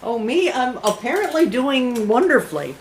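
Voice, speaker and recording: A woman's voice: on the high side, very animated, normal speed, low-quality recording. Female club member, cheerful and chatty, on a video call with faint background noise. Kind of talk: casual chat.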